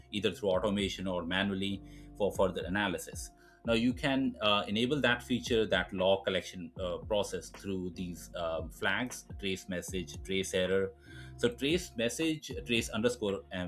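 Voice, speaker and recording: Low-pitched man presenting a software tutorial. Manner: informal, step-by-step explaining. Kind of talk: screen-recorded software tutorial, explanatory.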